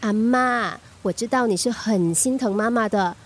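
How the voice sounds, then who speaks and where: A woman's voice: on the high side, normal speed, close to an everyday voice. A woman playing a caring, cheeky young granddaughter in a radio drama, sweet-talking and teasing. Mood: coaxing. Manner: teasing, coaxing. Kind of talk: Chinese radio drama acting.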